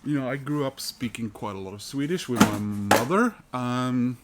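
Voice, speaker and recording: A man's voice: mid-range voice, lively intonation, normal speed, very noisy. A Norwegian man vlogging casually about his record purchases, talking loosely with many "uh" and "um" fillers. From Norway. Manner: loose and chatty, heavy with "uh" and "um" fillers. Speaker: vinyl collector vlogger. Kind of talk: casual rambling chat to camera.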